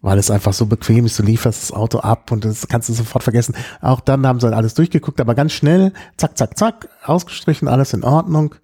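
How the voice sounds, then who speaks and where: Ein Mann, der in einem Podcast locker und mit vielen Füllwörtern von einer Reise erzählt. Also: male voice, mid-range voice, quick pace, few pauses, mellow, breathy edge. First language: German. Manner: chatty storyteller, many fillers. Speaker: podcast host. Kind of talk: casual chat.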